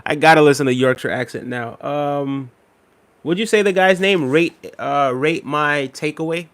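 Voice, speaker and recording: Gravelly man chatting on a livestream. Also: a little high, normal speed, no echo. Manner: relaxed, repeats himself, many fillers. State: a little unwell and tired. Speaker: livestream host. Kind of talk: casual livestream chat reading viewer comments.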